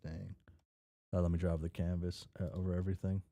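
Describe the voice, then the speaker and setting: Male streamer, deep pitch, very soft dark tone, dry close sound, offhand casual delivery, occasional word stumbles. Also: level tone, fast talker, no echo. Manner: mumbly offhand asides, stumbles over words when reading. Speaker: host of a read-along stream. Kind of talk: casual chat while drawing and reading a story aloud.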